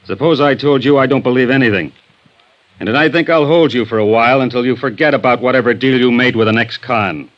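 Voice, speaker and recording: An actor playing a gruff, impatient male police sergeant who bullies and needles witnesses, in a 1950s radio drama. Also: medium pitch, fast, lightly acted. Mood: skeptical. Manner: bullying, sarcastic interrogator. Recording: dull lo-fi old radio recording.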